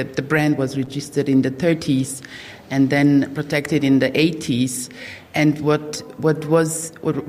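A woman's voice: deep voice, darkish tone. A middle-aged woman from Croatia, speaking on a live panel about managing a destination brand, hesitant with many fillers and restarts. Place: hotel hall with audience. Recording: long reverb of a hotel hall.

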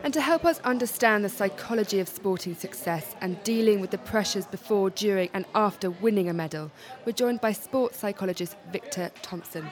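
A woman's voice: medium pitch, a little fast, few pauses. Woman radio host asking rambling questions. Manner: scripted intros, rambling hedged questions. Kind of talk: radio presenting and interviewing.

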